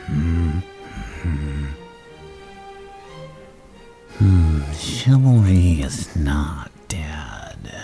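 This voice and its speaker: A man playing a flirtatious, menacing, unhinged villain in a gravelly half-whisper for an ASMR roleplay, slow and teasing with murmured hums. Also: rumbling low, warm tone, heavily acted.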